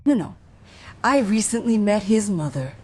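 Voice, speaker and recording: An actress playing a troubled, inquisitive woman in a crime radio play, with a husky voice. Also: mid-range voice, big pitch swings, moderate pace, a little mellow, slight background noise, close to an everyday voice. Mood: probing. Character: troubled, inquisitive woman quietly investigating an accident. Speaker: voice actor in a crime audio drama.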